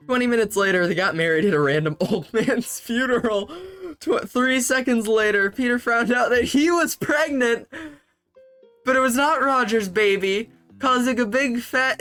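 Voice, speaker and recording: Young male comedy host, slightly higher voice, sarcastic deadpan reads over faint music. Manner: sarcastic, breaks into laughter while reading. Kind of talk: comedic reading of fanfiction.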